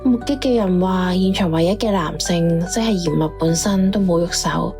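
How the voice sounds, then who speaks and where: A woman's voice: lowish voice, moderate pace, darkish tone, lightly acted. A woman playing a world-weary informant who reports her findings in a matter-of-fact, resigned way in an audio drama. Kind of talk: radio drama dialogue. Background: music.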